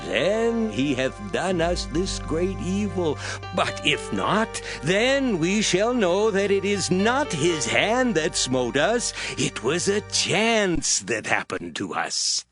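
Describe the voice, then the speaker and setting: A man playing a solemn ancient priest who gives stern, deliberate, declamatory counsel in a dramatized scripture reading. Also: high-pitched, very animated, music in the background, exaggerated voice. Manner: slow, deliberate, emphatic, declamatory.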